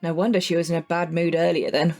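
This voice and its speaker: A woman's voice: lowish voice, little pitch movement, fast talker, darkish tone, faint music behind, close to an everyday voice. An actress playing a cheeky, blunt British woman neighbour in an audio drama, casual, wry and teasing. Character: Rach, cheeky, blunt, friendly female upstairs neighbour. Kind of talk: naturalistic audio drama acting.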